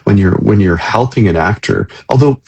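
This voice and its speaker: A middle-aged or older man hosting a casual live interview, speaking haltingly and repeating words as he thinks aloud. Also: lowish voice, animated, vocal fry, darkish tone, husky, highs cut off. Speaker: interview host.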